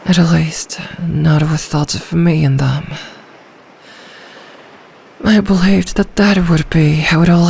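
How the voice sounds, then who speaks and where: A woman's voice: low voice, mellow, a little hiss or hum, heavily acted. A woman voicing an ancient, lonely, possessive sea dragon in human form, in a brooding, formal ASMR fantasy roleplay. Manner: slow, storybook-formal, brooding, lapses into breathy whispers.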